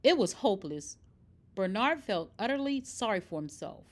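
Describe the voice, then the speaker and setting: A woman reading a children's picture book aloud in expressive, scripted narration. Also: medium pitch, normal speed. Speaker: storybook reader.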